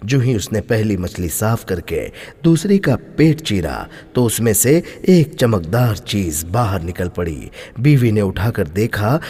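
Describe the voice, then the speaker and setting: Husky male storyteller in heavy reverb. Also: fairly low pitch, a little slow, hardly any pauses. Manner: expressive, emphatic storytelling. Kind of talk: dramatic scripted moral story narration. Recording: long hall-like reverb.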